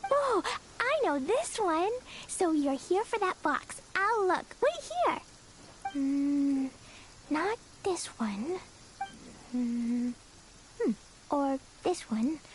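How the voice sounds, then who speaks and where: A woman voicing a cheerful, innocent little girl in a game, with a high, bubbly childlike voice. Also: animated, very slow, a little hiss or hum, full character voice. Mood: searching. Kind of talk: game voice acting. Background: game music.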